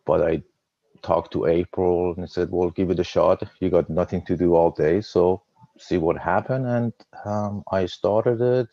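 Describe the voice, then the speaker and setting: Man, 50s, Persian accent, very low and unhurried, halting with repeated words, dry dull web-call sound. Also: vocal fry, warm tone, no room sound. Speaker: guest trader. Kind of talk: personal story on a webinar. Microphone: video call.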